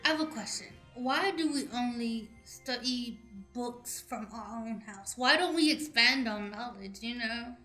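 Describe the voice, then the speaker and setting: Bright, sassy young protege played by a woman. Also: fairly high pitch, measured pace, hardly any pauses. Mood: blunt. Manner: blunt, assertive. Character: sassy, outspoken young protege of mystic house. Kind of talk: improvised comedic radio play. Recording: music under the voice.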